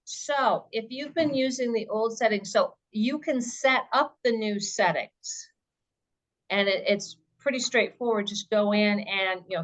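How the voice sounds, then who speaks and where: A woman's voice: mid-range voice, a bit bright, low-quality recording. A woman presenter answering questions in an informal webinar, explaining things step by step and thinking aloud.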